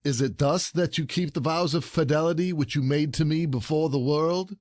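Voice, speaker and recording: A man's voice: a little high, normal speed, a little mellow, no echo, studio quality, natural voice. A man playing an indignant, proud, jealous husband in a classic comedy audio play, scolding in formal old-fashioned phrasing. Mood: jealous, sarcastic.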